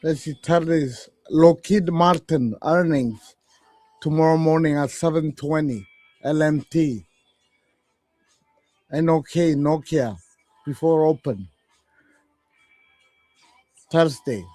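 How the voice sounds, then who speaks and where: A man in his forties hosting live trading radio, reading out earnings numbers slowly and haltingly. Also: on the high side, dark tone, no echo, soft background music. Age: 40s. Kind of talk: live market commentary.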